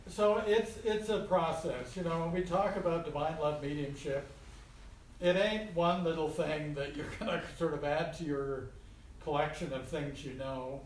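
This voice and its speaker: A man's voice: fairly high pitch, normal speed. A man giving an informal spiritual talk to a small group in a folksy, colloquial way, repeating phrases for emphasis. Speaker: spiritual teacher. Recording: roomy, distant mic with faint noise.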